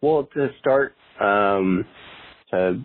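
A man in his twenties with a very low, husky voice rambles through his answers, full of fillers and restarts. The audio is thin and tinny, like a phone line. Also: drawn-out words. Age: 20s. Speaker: guest. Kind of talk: casual interview conversation.

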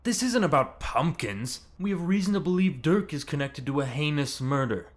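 A man playing an excitable, slightly whiny thirty-something sidekick animal cop in a comic audio drama. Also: on the high side, normal speed. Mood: official.

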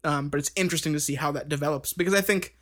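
Rambling thirty-year-old man, higher voice. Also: very fast, a bit bright. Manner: rambling, with many fillers and restarts. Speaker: band member co-hosting a podcast. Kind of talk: casual podcast chat.

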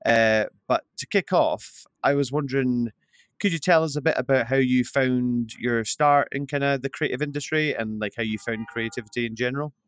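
A man's voice: mid-range voice, normal speed, clean tone, no echo. A man hosting a podcast interview, friendly and asking long questions padded with fillers. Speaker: podcast host.

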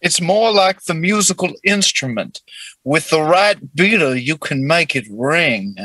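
A man voicing a lewd, old-fashioned Southern gentleman with a florid, courtly drawl in an improvised comedy role-play. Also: fairly high pitch, lively intonation, measured pace, dry recording, heavily acted. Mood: courtly, suggestive.

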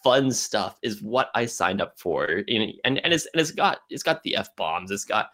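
Stammering man with animated, swooping pitch. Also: normal speed. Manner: stammers and repeats words. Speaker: podcast co-host. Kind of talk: casual film discussion.

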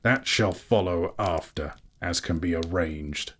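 A proud dwarf king, acted by a male storybook reader, deep and gruff. He declaims slowly, with bitter scorn and an imperious temper, as in a dramatic fantasy tale. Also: a bit bright, a little hiss or hum. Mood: grim, bitter.

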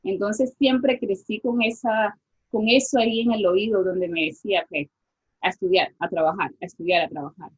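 A woman from Venezuela with a Venezuelan accent, a guest scientist talking casually in an interview and pausing with fillers. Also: medium pitch, fairly even intonation, slightly rough, highs cut off. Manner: reflective, with many fillers like "este" and "o sea".

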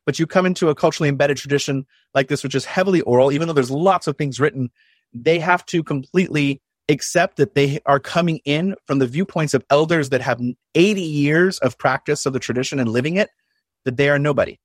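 A man's voice: on the high side, a little fast, no echo, studio quality. A man in a casual discussion, reflective, explaining at length and posing rhetorical questions.

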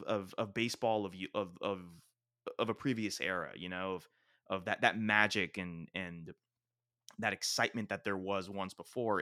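Podcast co-host, man, bright forceful tone, lowish, dry close sound. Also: no echo. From South Florida. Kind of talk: casual chat.

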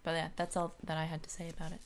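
A woman's voice: deep voice, monotone, rapid-fire. A woman co-hosting a podcast, chatting about books in an informal, wry way. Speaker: podcast co-host. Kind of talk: casual book discussion chat.

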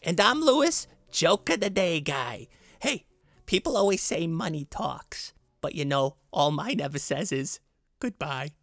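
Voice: medium pitch, big pitch swings, measured pace, a bit bright, no room sound. A performer playing a chatty, effusive, fussy dad with corny humor on a comedy podcast. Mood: cheerful. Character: chatty, fussy, affectionate dad who tells corny jokes.

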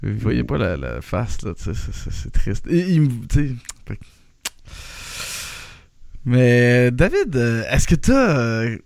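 Animated Quebec French podcast host. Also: male voice, medium pitch, slow, vocal fry, no echo. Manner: rambling, animated, many restarts and laughs. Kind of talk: casual podcast chat.

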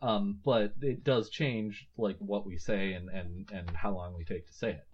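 A man's voice: lowish voice, fairly even intonation, a little slow, breathy edge. Rambling, hesitant male podcast host. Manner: rambling and hesitant, thinking aloud with many fillers. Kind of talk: casual book-discussion podcast. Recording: slightly muffled lo-fi audio.

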